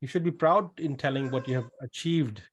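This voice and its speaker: A man's voice: mid-range voice, fairly quick, husky. A middle-aged man from Pakistan with a Pakistani accent, hosting an online question session and advising participants in a blunt, probing way. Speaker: webinar host and pharmacist adviser. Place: small room indoors, on a video call. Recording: noisy video call, clicks.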